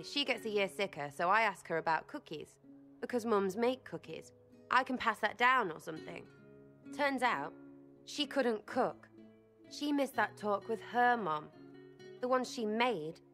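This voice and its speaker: A woman's voice: medium pitch, fairly bright tone, long reverb tail. A woman playing a mischievous, blunt young elven rebel in a video game, with an English accent and a cheeky, slangy, irreverent way of talking.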